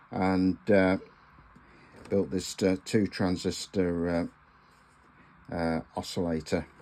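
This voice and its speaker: A man in his sixties talks through a hands-on hobby demonstration. His voice sits a little low and he speaks very slowly. He is halting and deliberate, often pausing to find the next word. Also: a little mellow. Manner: halting, deliberate, with frequent pauses and hesitations. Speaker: amateur radio hobbyist presenting a video. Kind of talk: informal hands-on demonstration talk.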